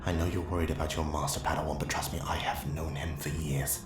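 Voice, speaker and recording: A man playing a calculating Sith Lord in an ASMR roleplay, speaking in a measured, persuasive, commanding way. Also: a bass voice, flat delivery, music in the background. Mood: brisk, commanding. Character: calculating male Sith Lord, smooth seducer to dark side. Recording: echoey with faint background noise.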